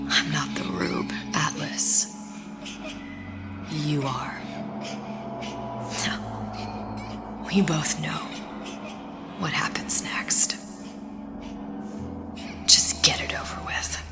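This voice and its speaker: A woman playing a vulnerable but stubborn young female captive in a video game, speaking haltingly and with intense feeling. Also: sits low, gravelly, whispered, exaggerated voice. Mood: resigned, defiant. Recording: loud game music behind.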